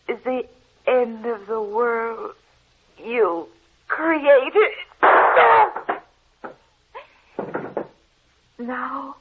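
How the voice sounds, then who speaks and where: A woman's voice: high voice, phone-quality sound, noisy background, exaggerated voice. An actress playing a vengeful woman raised from the dead, speaking solemnly and commandingly in old-time radio horror style. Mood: weary, final. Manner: slow, solemn, portentous.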